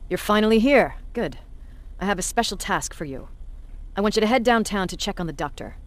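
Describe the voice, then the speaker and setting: An actress playing a cynical, blunt resistance commander in a video game, with a measured, sardonic delivery. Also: female voice, medium pitch, expressive, natural voice. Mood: brisk, commanding. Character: hard, cynical female resistance military commander, self-declared bully.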